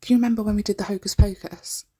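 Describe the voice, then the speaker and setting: A woman in her thirties with a croaky, groggy voice, chatting informally through a nail art tutorial. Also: medium pitch, fairly even intonation, racing, dark tone. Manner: chatty and informal, breaks off often to correct herself.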